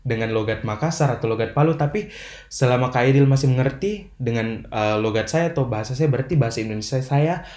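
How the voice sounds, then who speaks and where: A young man talks in careful, formal sentences, earnest and a little motivational, with fillers between thoughts. His voice sits at a middle pitch. The room around him rings noticeably. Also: a little mellow. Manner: measured, formal phrasing with frequent fillers. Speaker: podcast guest, language ambassador. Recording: roomy echo.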